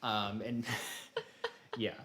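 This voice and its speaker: A man's voice: on the low side, level tone, extremely slow, fairly bright tone. Self-deprecating man chatting casually about games. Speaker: podcast co-host.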